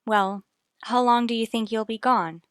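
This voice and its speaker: A woman's voice: fairly high pitch, steady tone, clean tone, no echo, clean studio sound, close to an everyday voice. Actress as a guarded, formal radio-soap mother. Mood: guarded, cool. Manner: formal, measured, polite. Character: anxious, determined married mother, politely guarded. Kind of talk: vintage radio soap opera acting.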